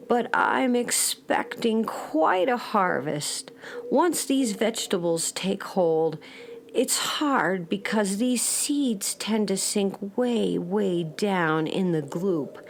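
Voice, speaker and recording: A gentle old grandmother from a swamp planet in a children's radio play. She talks slowly and patiently, with a gravelly creak and a hopeful, gracious manner. There is faint noise behind the voice. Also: medium pitch. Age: older. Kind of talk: children's audio drama acting.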